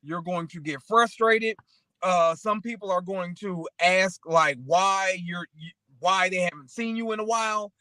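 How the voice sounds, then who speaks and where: Man, high voice, casual motivational talk, rambling storyteller, conversational check-ins, dry sound. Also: measured pace, sharp tone, no echo. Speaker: talk show co-host.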